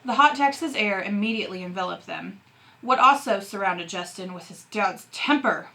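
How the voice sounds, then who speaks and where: A woman teacher reading a novel aloud in an expressive narrating style. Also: medium pitch, normal speed, rough recording.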